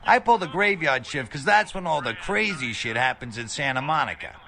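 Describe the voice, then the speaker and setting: High, gravelly, wisecracking American bail bondsman. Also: sing-song, fairly quick, sharp tone, full character voice. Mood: chatty, amused. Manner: colloquial, jokey, rambling. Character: chatty, wisecracking late-night bail bondsman, slightly seedy. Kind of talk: video game voice acting.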